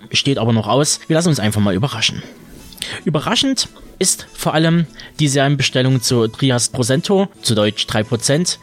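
A man hosting a podcast, reading scripted series news in a wordy, tongue-in-cheek way. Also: medium pitch. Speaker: podcast host. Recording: music bed under the voice. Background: music.

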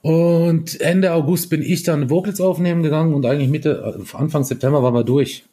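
A man from East Tyrol, a band musician, talking casually in an interview, relaxed and chatty with many fillers and restarts. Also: a little high, brisk, breathy edge. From East Tyrol, Austria.

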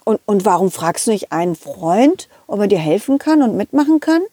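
A woman's voice: medium pitch, sing-song, normal speed, mellow, breathy edge, no echo. A woman voicing a cheerful, loyal male dog who tells his own adventure to children in a chatty, giggly way. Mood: kind. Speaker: storyteller. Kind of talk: children's audio play narration.

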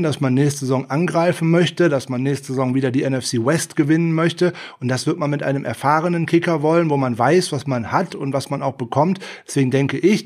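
Middle-aged german guy on a football podcast, kinda high voice, careful and explainy, lots of uhs. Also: a little mellow. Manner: measured and explanatory, with frequent hesitation fillers. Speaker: podcast co-host. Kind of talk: casual sports podcast discussion.

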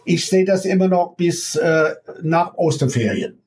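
A middle-aged man from the United States with an American accent, a ballet teacher talking as an interview guest in halting German full of pauses and small laughs. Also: on the high side, darkish tone, a little hiss or hum. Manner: halting German with many ums and frequent laughs. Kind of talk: casual interview over remote connection.

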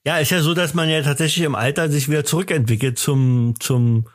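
A man's voice: on the high side, fairly even intonation, a little fast. A man in his fifties from eastern Germany hosting a podcast, chatting in a casual, rambling, jokey way and poking fun at himself. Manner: jokey, self-deprecating. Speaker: podcast host.

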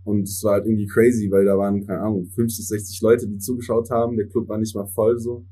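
A young man, a native English speaker, giving relaxed interview answers as a musician and mixing English words into his speech. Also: on the low side, fast talker, breathy edge. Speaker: musician guest.

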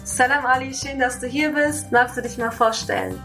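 Bright-voiced young woman podcast host. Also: high-pitched, fairly even intonation, moderate pace, soft background music. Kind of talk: podcast interview, asking questions.

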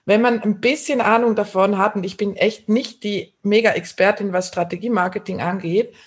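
A woman's voice: mid-range voice, fairly even intonation, moderate pace. A woman speaking conversationally as a podcast host, introducing and chatting as a coach and author.

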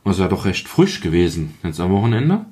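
A man's voice: sits low, very animated, a little fast, a little mellow. A man in a casual podcast chat, talking reflectively in a loose colloquial way with frequent tag questions. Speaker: podcast guest. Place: kitchen.

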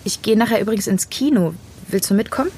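A woman's voice: medium pitch, fast, natural voice. An actress in an audio drama playing a curious, chatty and caring woman. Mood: curious, familiar. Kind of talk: German audio drama acting. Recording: clear background noise, clicks.

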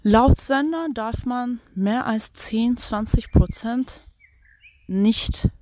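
Slow, earnest woman. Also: on the high side, mellow, a little hiss or hum. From Turkey. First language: Turkish. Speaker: film director. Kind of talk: spoken statement on film funding.

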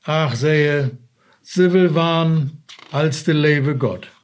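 A man voicing a timid fisherman pleading with his wife, in a Low German fairy-tale reading. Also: extremely slow. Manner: meek and pleading. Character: timid old fisherman, anxious husband.

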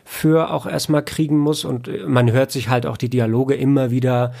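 A man, an audio producer talking as an interview guest, explaining things in a halting way and repeating words as he thinks. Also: mid-range voice, steady tone, vocal fry, a little mellow. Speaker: audio producer and sound engineer, podcast guest.

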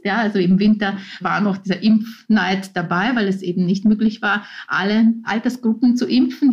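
A woman's voice: mid-range voice, darkish tone. A woman whose first language is Ukrainian talks as an expert guest on a podcast. She explains patiently, circling back to rephrase each point. The sound is a little muffled and lo-fi. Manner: explanatory, often reformulates with "also". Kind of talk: podcast interview.

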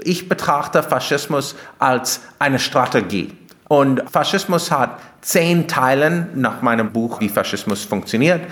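Man with an American accent, medium pitch. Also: expressive, measured pace, a bit bright. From United States. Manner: non-native German with small grammar slips. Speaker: interview guest, philosopher and author. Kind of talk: explanatory interview answers.

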